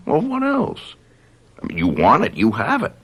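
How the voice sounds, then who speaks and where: An actor playing a callous young hip boyfriend in a radio drama, slangy, flippant and evasive. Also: male voice, medium pitch, wildly swooping pitch, measured pace, fairly bright tone, husky, full character voice. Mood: breezy, defiant.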